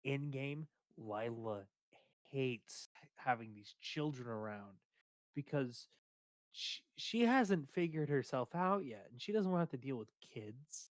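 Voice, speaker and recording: A man with a big, booming voice talks loosely on a stream, hunting for words and restarting often. His sound is dry and close, with no room around it. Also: expressive, measured pace, no echo. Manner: rambling, hesitant with many fillers. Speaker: streamer discussing his game character. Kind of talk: casual chat.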